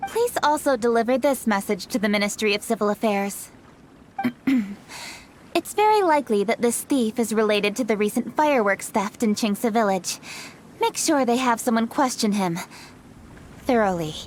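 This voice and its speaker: A woman's voice: fairly high pitch, lively intonation, normal speed, slight background noise, lightly acted. A woman playing a dutiful young city official in a video game, serious, formal and businesslike. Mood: stern.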